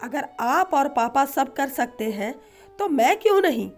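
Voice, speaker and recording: Woman voicing a defiant, high-pitched teenage daughter. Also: animated, unhurried, soft background music. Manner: argumentative, rhetorical questioning. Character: defiant, brazen nineteen-year-old daughter arguing back. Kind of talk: storybook dialogue in narration.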